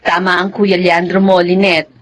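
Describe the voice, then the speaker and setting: An actress playing a kindly married older sister in a radio drama. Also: female voice, on the low side, flat delivery, normal speed, slight background noise, close to an everyday voice. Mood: kindly, pleased.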